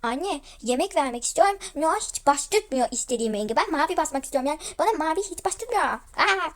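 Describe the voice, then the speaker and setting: A woman voicing a whiny, excitable small child in a role-play story, pleading and repeating words. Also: high voice, a little fast. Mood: whiny, complaining. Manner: whining, repetitive pleading.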